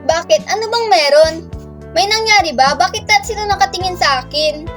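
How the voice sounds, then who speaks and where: A woman's voice: very high-pitched, fairly quick, fairly bright tone, faint music behind. A woman playing a fearful but hopeful young student in an amateur radio drama. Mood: distressed.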